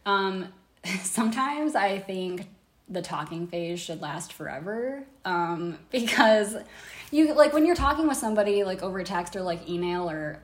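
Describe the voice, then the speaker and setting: A woman's voice: mid-range voice, animated, unhurried, echoey room. A woman hosting a casual podcast, telling a story from memory in a rambling, laughing way. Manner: rambling, many fillers and restarts, laughs easily. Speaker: podcast host.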